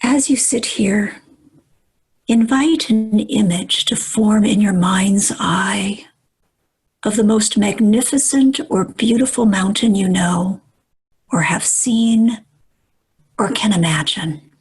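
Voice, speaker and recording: Female meditation guide; hushed, very airy tone, unhurried delivery, calm measured phrases with long pauses. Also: mid-range voice, poor audio. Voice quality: soft, breathy, at times near whisper.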